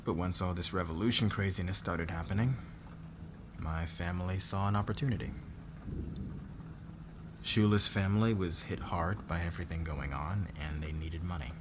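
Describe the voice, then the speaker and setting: A man acting in an intimate ASMR roleplay as a demanding, guarded noble boss weighed down by debt, speaking haltingly with sighs. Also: deep voice, fairly even intonation, background noise, close to an everyday voice. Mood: weary, confiding.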